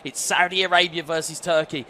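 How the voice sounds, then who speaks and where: A man's voice: fairly high pitch, fairly even intonation, brisk, sharp tone, slight background noise. A man with an English accent giving fluent, upbeat play-by-play commentary on an esports football match, often speaking to the viewers directly. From England.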